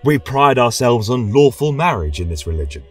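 A man's voice: mid-range voice, sing-song, moderate pace, far from the mic, soft background music. A man narrating a scripted tale as a religious chronicler, in a solemn, reverent, theatrical style. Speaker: storyteller and podcast host.

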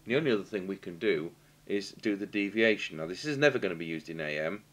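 A British man giving a halting, matter-of-fact technical demonstration, thinking aloud as he works at a workshop bench. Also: low-pitched, expressive, a bit bright. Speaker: radio repair technician demonstrating. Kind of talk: unscripted technical demonstration commentary. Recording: clear background noise and hum.

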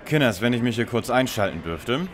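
An actor playing a wordy, know-it-all young detective who lectures others in a parody radio play. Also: male voice, mid-range voice, lively intonation, a little fast, faint music behind, natural voice. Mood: lecturing.

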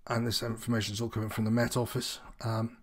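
A man's voice: on the low side, level tone, fast talker. A man giving an informal, unscripted walkthrough as an instructor, explaining things in a slightly hesitant, meandering way. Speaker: sailing instructor presenting tutorial.

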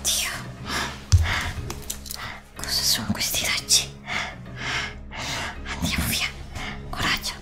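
A woman's voice: takes it slowly, long pauses, bright, forceful tone, faint music behind. A woman playing a bewildered heroine of a fairy tale, breathless and hesitant in an ASMR roleplay. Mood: bewildered, frightened. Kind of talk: whispered Italian ASMR roleplay.

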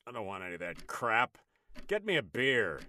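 Surly, volatile boyfriend played by an actor, swooping pitch. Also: male voice, big pitch swings, normal speed, sharp tone, slight background noise. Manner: gruff, contemptuous snapping. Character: abusive, surly, hot-tempered deadbeat boyfriend. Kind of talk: radio drama acting.

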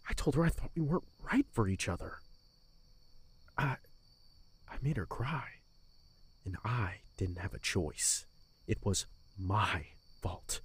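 A man playing a heartbroken, guilt-ridden young captain in a fantasy audio drama, speaking haltingly with raw emotion and a husky voice. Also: fairly low pitch, very animated, no room sound, heavily acted. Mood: guilty, regretful.

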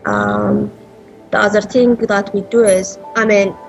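A woman's voice: medium pitch, very animated, measured pace, dull audio, faint music behind. A woman from Ethiopia in her thirties, answering interview questions earnestly with frequent hesitations, over an earbud headset on a video call. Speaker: entrepreneur interviewee. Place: small indoor room.

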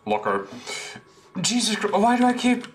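A young man in a headset commenting casually on a game he is playing, excitable and slangy, laughing often. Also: sits high, moderate pace, slight background noise. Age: young adult. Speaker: gaming streamer. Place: small room at a desk.

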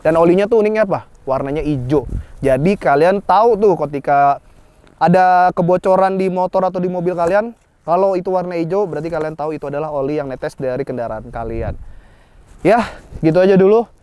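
A man's voice: on the high side, a little fast, mellow, clean tone, dry recording. A young man chatting casually to camera as a motorcycle vlog host. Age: young adult. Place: outdoors in an open grassy area. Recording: outdoor ambience with noise.

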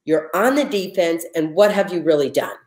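Lower-voiced woman in her fifties, echoey kitchen. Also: lively intonation, normal speed, darkish tone. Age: 50s. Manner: chatty, earnest, with many restarts and fillers. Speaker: host. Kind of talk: casual live chat. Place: home kitchen. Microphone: earbud headset on a video call.

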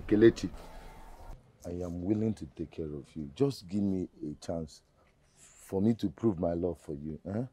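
A man's voice: medium pitch, sing-song, a little fast, darkish tone, dry recording. A man acting a poor, lovestruck, hot-tempered village suitor in a film drama, with a Nigerian Igbo accent and an emotional delivery. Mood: soft pleading. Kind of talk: Nollywood-style film acting.

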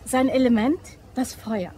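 A woman's voice: a little high, measured pace, dark tone, a little hiss or hum, natural voice. An actress playing a chatty, curious young woman in a German crime radio drama. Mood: bright, eager.

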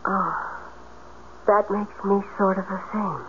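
A woman's voice: mid-range voice, fairly even intonation, slow pace, natural voice. An actress playing a mysterious, dreamy young woman in a radio drama, speaking with a haunting solemnity. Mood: wistful. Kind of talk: 1970s radio drama acting. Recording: tinny, noisy 1970s radio broadcast.

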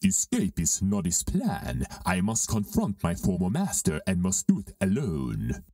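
A man playing a grave, vengeful dark lord, declaiming Shakespearean verse in an amateur dramatic reading. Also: low voice, wildly swooping pitch, measured pace, dry recording, studio quality, distant mic, heavily acted. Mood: grave, certain.

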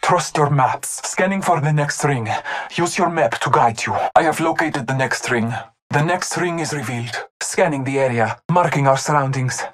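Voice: medium pitch, moderate pace, background noise, close to an everyday voice. An actor playing a devout, honor-bound masked hunter warrior in a video game, speaking in formal, solemn callouts. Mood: solemn, focused.

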